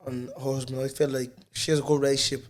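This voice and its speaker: A man's voice: mid-range voice, little pitch movement, fast talker. A man talking as a guest on a podcast, earnest and reflective in casual chat.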